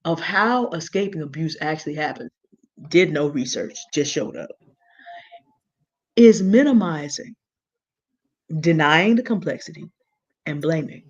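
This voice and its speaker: Woman, big low contralto, lecturing slow and earnest, muffled call audio. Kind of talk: measured explanatory talk.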